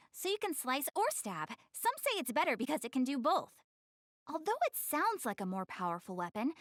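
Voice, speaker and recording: An actress voicing a proud, easily flustered young anime goddess in a video game, haughty yet earnest in manner. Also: female voice, high-pitched, fairly bright tone, dry recording, clean studio sound. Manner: haughty, stammers when flustered, explains things earnestly. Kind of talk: English anime game voice acting.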